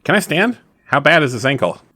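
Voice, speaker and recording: A man's voice: a little high, big pitch swings, brisk, pushed and bright, no room sound, clean studio sound. A man playing a tabletop roleplaying game, chatting casually with joking asides as he says what his character does.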